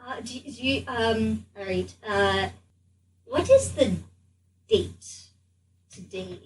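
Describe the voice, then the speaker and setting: Woman playing hesitant, stammering, bewildered adventurer, slightly high-voiced. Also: animated, slow pace, a little mellow. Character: bewildered, polite traveled adventurer in a strange body. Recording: distant mic, background noise.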